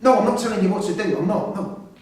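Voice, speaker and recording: A man's voice: high-pitched, quick pace, darkish tone, natural voice. Agitated imprisoned dad in an echoey room man acting. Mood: angry. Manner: sweary, insistent, emotional. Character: agitated imprisoned father, pleading and quick to anger. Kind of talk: live staged play reading. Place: theatre-pub performance space, recorded from a distance. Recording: roomy far-off stage recording, faint noise.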